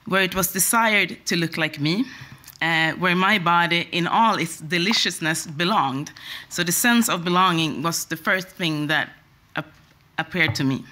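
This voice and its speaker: Swedish woman in her forties, conference speaker, bright tense tone, hesitant with restarts. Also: sits low. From Sweden. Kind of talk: personal talk at a conference.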